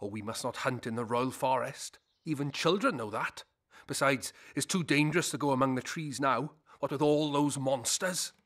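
An actor playing a shrewd, folksy village fur trader in a video game, with a haggling manner. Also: male voice, mid-range voice, moderate pace, fairly bright tone, natural voice. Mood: folksy, sly. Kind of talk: video game character acting.

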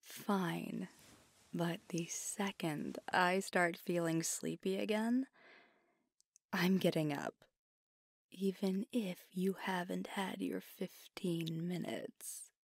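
A woman voicing a fiery, stubborn werewolf girlfriend in a whispered ASMR roleplay, slow and teasing with small laughs. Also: fairly low pitch, fairly even intonation, gravelly, slightly rough, no echo, clean studio sound, heavily acted. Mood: sleepy reluctant. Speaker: voice actor.

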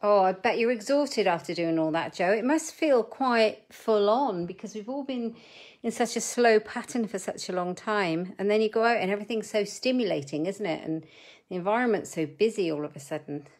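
A woman's voice: medium pitch, moderate pace, a little mellow. A middle-aged woman hosting a live crafting demo from her desk at home, chatting with viewers and muttering asides as she works. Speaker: papercraft host.

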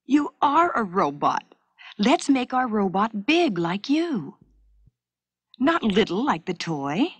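A woman's voice: medium pitch, very animated, slow pace, husky, dry recording, exaggerated voice. A woman reading the lines of an imaginative young girl with firm opinions, in an emphatic, insistent manner. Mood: excited.

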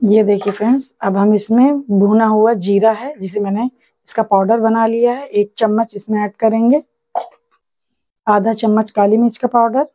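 A woman's voice: medium pitch, steady tone, moderate pace, dark tone, no room sound, poor audio. A woman hosting a cooking channel, patiently walking viewers through a recipe step by step and often calling them friends. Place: home kitchen.

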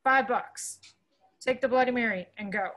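A woman reading a bartender who calms and scolds a friend, in a table read over a video call, soothing and bossy. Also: fairly high pitch, normal speed.

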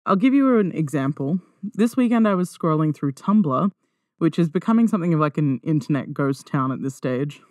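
A woman's voice: low-pitched, expressive, moderate pace, darkish tone, no room sound, clean studio sound. A woman hosting a podcast solo in a casual, conversational way, wry and self-deprecating. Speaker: podcast host. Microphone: close podcast microphone.

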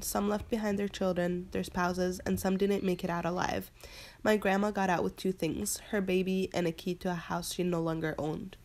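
Young woman with a gravelly voice. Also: medium pitch, a little mellow. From Palestine. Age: young adult. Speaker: student narrating her own immigration story. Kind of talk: personal narration.

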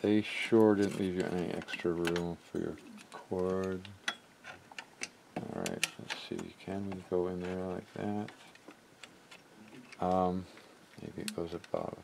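A man narrating a hands-on repair casually, haltingly thinking aloud as he works. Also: deep voice, fairly even intonation, vocal fry, noisy background. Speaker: repair hobbyist and video maker. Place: indoor cluttered electronics workbench.

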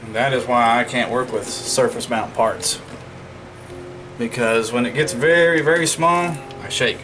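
Clear background noise sits behind a man with a mid-pitched voice. He talks in an informal, folksy way, thinking aloud while he does hands-on repair work. It sounds like a casual home-made DIY vlog recorded at a work table. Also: unhurried. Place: indoors at a wooden work table.

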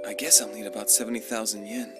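A man's voice: fairly low pitch, natural voice. A man playing an earnest, easily panicked young student who mutters to himself in an anime dub. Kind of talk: English anime dub acting. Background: music.

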